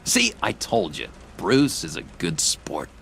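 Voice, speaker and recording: A man playing an eager, unstable, childlike misfit in a video game, stammering and excitable. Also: deep voice, unhurried, gravelly, slight background noise, full character voice, rough recording. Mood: eager to please. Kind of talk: video game character acting.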